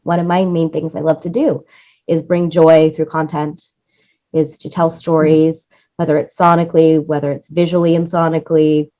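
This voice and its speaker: Female interview guest, lowish voice, effusive and emphatic with repeated phrases, on slightly muffled lo-fi audio. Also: dark tone. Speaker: interview guest, music supervisor and producer. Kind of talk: enthusiastic interview conversation.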